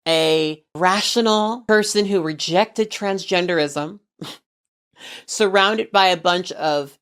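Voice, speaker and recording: A woman's voice: on the low side, takes it slowly, fairly bright tone, smooth. A woman in a played clip, speaking in a scornful, provocative way.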